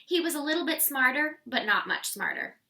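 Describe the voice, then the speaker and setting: Lady doing a storybook wolf guy, confiding and self-excusing, high bright voice, bit far from mic. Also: animated, brisk, full character voice. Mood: chatty. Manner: confiding, addresses listeners directly. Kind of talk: animated children's storybook read-aloud.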